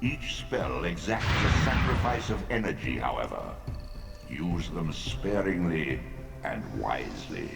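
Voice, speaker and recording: An actor playing a solemn ancient male guide in a game, with a grave, measured, commanding delivery. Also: a bass voice, sing-song, gravelly, exaggerated voice. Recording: echoey game audio over loud music. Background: loud game music.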